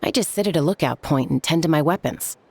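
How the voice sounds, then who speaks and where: A woman voicing a laid-back female warrior who talks in a relaxed, easygoing, dry way. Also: low voice, fast talker, slightly rough, dry recording. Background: game music.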